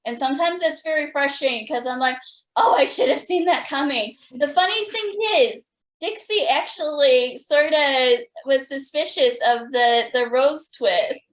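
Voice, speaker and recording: A woman hosting a podcast interview in casual chat, with drawn-out, hesitant phrasing. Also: high-pitched. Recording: roomy, echoey sound.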